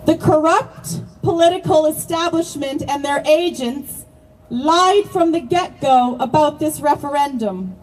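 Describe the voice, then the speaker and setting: High-voiced woman giving a rally speech. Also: unhurried, far from the mic, poor audio. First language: not born in Ireland, long resident. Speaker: rally speaker. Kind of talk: prepared speech at outdoor rally.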